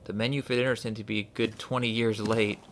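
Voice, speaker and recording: A man casually commenting on a game as he plays, thinking aloud and reading the notes he finds out loud. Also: lowish voice, little pitch movement, fast talker, a little hiss or hum. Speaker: let's play host.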